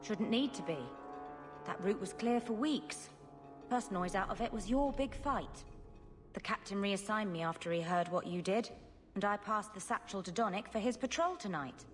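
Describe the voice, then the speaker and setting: A woman's voice: mid-range voice, lively intonation, moderate pace, soft background music, natural voice. A woman playing a grateful city guard in a video game, matter-of-fact and friendly. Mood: grateful, plain. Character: grateful, plain-spoken female city guard.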